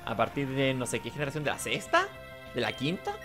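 A man's voice: medium pitch, huge pitch swings, brisk, a bit bright, soft background music. A man giving casual, rambling let's play commentary, talking to himself. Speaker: gaming host.

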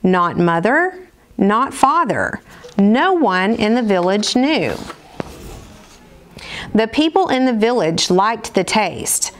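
A woman's voice: mid-range voice, sing-song, slow pace, long pauses. Middle-aged American woman reading a storybook aloud. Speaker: storyteller, school program director. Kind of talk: read-aloud storybook narration for children. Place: indoors, seated at table before dark curtain.

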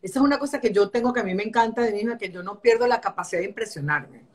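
Middle-aged Caracas-accented woman, slightly high voice, lively storyteller on a video call. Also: racing, husky. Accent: Caracas Venezuelan. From Venezuela. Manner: animated, chatty storytelling with many restarts. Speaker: architect interviewee. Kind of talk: casual interview. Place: home room.

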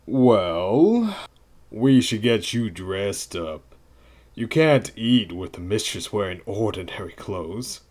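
A man's voice: on the low side, very animated, takes it slowly, a little mellow, full character voice. A man voicing a jovial, helpful talking wardrobe in an audio fairy-tale drama. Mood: helpful, fussing.

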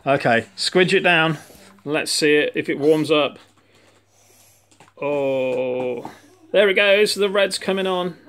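A man's voice: on the high side, takes it slowly, pauses often, a bit bright. A man giving a casual home science demonstration to children, explaining in an encouraging, playful way. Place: indoors in a home living room. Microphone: handheld phone behind the camera.

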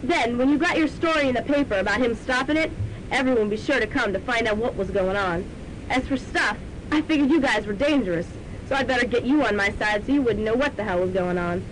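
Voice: quick pace, fairly bright tone, close to an everyday voice. An actor playing a bossy, scheming female police sergeant villain in a student radio drama, with a commanding, gloating delivery. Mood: gloating confession. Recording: noisy amateur radio drama tape, rumbling.

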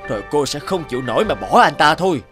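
A man voicing a fat, wealthy, scheming cartoon landlord in a pompous, taunting, drawn-out way. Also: on the high side, big pitch swings, music in the background. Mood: scornful. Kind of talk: cartoon dialogue acting.

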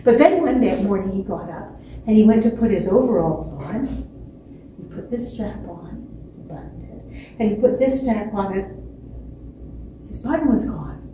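A woman in her sixties telling a children's story expressively, with dramatic pauses and repetition. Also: mid-range voice, expressive, mellow. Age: 60s. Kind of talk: animated children's storytelling from memory. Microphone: distant camera microphone. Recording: echoey stage, distant mic, faint noise.

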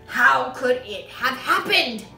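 A woman voicing a blustering, bad-tempered middle-aged father who yells with indignant, bullying outrage. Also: fairly high pitch, expressive, slow pace, echoey room, soft background music. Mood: appalled panic.

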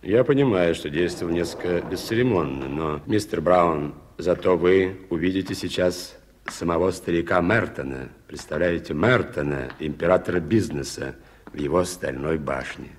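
Deep-voiced talkative journalist played by a man. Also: normal speed, a little hiss or hum, lightly acted. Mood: persuasive, hushed. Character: talkative journalist, deferential guide to a priest. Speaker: radio drama actor. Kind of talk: 1980s Soviet radio theatre acting.

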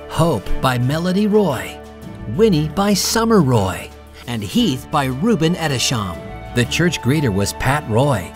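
A man reading scripted announcements and credits as the announcer of an audio drama. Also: medium pitch. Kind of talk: scripted narration, radio drama credits read. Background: music.

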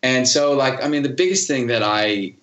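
A man's voice: mid-range voice, normal speed, fairly bright tone, echoey room, lo-fi sound. A man guesting on a podcast interview, talking casually with many fillers and easy laughter about leading worship.

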